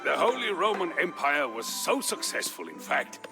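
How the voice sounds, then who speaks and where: An actor playing a boisterous tavern storyteller who narrates a medieval tale in a historical game, dramatic and relishing, with weighty pauses. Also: male voice, on the high side, sing-song, creaky. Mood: measured, storytelling. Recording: music behind the voice. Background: music.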